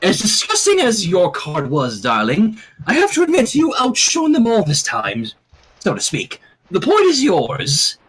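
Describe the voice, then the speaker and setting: Dainty haughty teen girl, played by a man, high and theatrical, swooping delivery, faint noise. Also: wildly swooping pitch, fairly bright tone, slightly rough, exaggerated voice, rough recording. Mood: grudging, haughty. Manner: arch, theatrical, drawn-out delivery. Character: refined teenage girl, dainty, haughty and dramatic.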